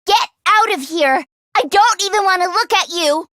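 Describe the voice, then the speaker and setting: A woman voicing an earnest, excitable teenage girl fighter in a video game, caring and anxious, with expressive, emotive delivery. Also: extremely high pitch, normal speed, dry recording, clean studio sound. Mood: angry. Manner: expressive and emotive, with sighs and gasps. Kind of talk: English video game voice acting.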